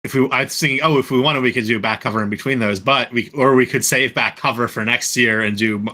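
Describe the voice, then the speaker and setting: A man chatting casually on a group podcast, thinking out loud in stop-start phrases. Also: mid-range voice, quick pace. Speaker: podcast panelist.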